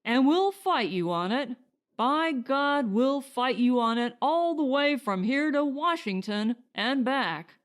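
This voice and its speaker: A woman's voice: high voice, expressive, slow pace. A woman voicing a stubborn settler, a family man, in a firm, terse manner for an audiobook story. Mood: defiant.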